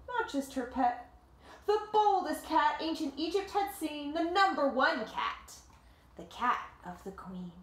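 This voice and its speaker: A woman in her thirties reading a picture book aloud to children like a teacher, with dramatic, deliberate phrasing and simple explanations, recorded in a living room. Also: sits high, a bit bright. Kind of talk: expressive storybook read-aloud with explanatory asides. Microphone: camera or phone a short distance away. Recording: roomy home echo.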